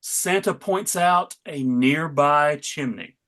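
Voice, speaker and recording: A man narrating a live comic book read in a wry, deadpan way. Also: a little high, takes it slowly.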